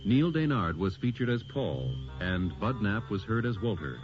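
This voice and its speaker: A man announces scripted credits on an old radio broadcast. He is formal and measured, with a fairly low, well-inflected voice. Music plays behind him and the sound is slightly dull. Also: sing-song.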